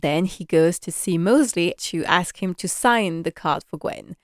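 A young woman recapping a drama series with casual, eager enthusiasm, stringing thoughts together with lots of "like" and "you know." Her voice sits a bit low, and the recording is close and dry with no room sound. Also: unhurried, no room sound. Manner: chatty and enthusiastic, many "like" and "you know" fillers, laughs often. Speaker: podcast host recapping a TV drama.

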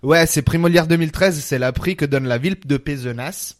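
A man's voice: on the high side, a little fast. A man with a slight Italian accent, chatting and telling stories casually in a slangy, joking way. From Italy. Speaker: guest, stage actor. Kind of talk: casual chat, storytelling.

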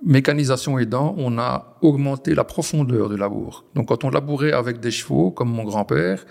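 A man's voice: medium pitch, normal speed, gravelly, a little mellow. A man in his forties from the Belgian Ardennes, a farmer explaining his work in an interview with frequent restarts and fillers. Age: 40s. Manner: hesitant, many restarts and fillers. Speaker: farmer guest. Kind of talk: conversational interview. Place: on a farm.

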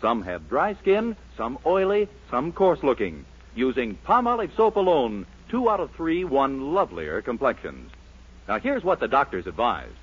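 A male announcer reads vintage commercial copy in a very raspy voice. His pitch moves with great animation. The 1940s radio recording is dull and lo-fi. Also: huge pitch swings, normal speed.

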